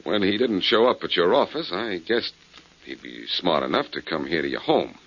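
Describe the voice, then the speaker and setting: A man's voice: low voice, expressive, moderate pace, a bit bright, slightly rough, exaggerated voice. A man playing a confident, wisecracking detective in a 1940s radio crime drama, with a breezy, wry manner. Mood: breezy, pleased. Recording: dull lo-fi 1940s radio recording.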